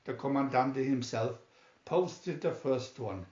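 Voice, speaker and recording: Male storybook narrator: unhurried, theatrical emphasis, mid-pitched, roomy home recording. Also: a little mellow, muffled recording. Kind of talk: dramatic scripted narration.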